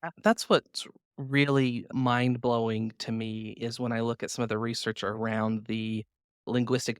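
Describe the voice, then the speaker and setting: A man of medium pitch speaks as the host of an educational interview. His delivery is conversational and earnest, as if he is thinking aloud. The sound is dry, with almost no room in it. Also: clear, resonant tone, no echo. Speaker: podcast host, former classroom teacher.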